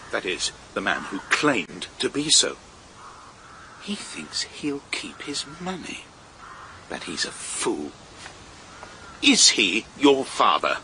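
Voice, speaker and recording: An actor in a period radio drama playing a cruel, contemptuous, menacing count with a sneering, theatrical delivery. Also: male voice, mid-range voice, pushed and bright, slight background noise, full character voice. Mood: impatient, cold.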